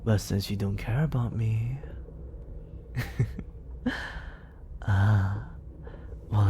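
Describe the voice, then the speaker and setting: A man playing a scheming, teasing villain mentor in an intimate ASMR roleplay, with breathy, silky, theatrical delivery up close to the microphone. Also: fairly low pitch, warm tone, a little hiss or hum. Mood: teasing, fond. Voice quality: breathy, often dropping into whispers. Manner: theatrical, deliberate, silky persuasion. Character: smooth scheming villain mentor, teasing, grandiose, secretly fond. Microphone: close studio condenser microphone.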